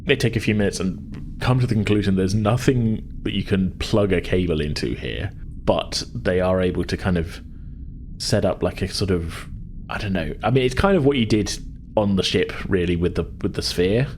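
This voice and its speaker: A man's voice: sits low, moderate pace, a little mellow, slight background noise. A man working as game master, narrating a tabletop sci-fi adventure in a hesitant, conversational, descriptive way.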